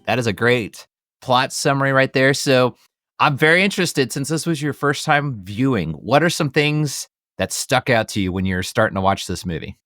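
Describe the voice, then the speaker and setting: A man's voice: mid-range voice, lively intonation, normal speed, a bit bright, no echo, studio quality, soft background music. A man hosting a casual film discussion, talking in an enthusiastic, conversational fan's way. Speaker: podcast host.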